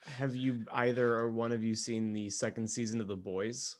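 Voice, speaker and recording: A man's voice: medium pitch, flat delivery, moderate pace, heavy background noise. A man co-hosting a podcast in casual, joking conversation. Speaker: podcast co-host.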